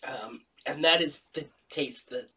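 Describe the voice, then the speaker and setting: Young man, nasal from a cold, bright voice, husky tone, heard from across a room. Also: fairly high pitch, a little slow, recorded from a distance. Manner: jokey, animated, laughs often. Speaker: co-host. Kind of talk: casual taste-test chat. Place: dining room at home.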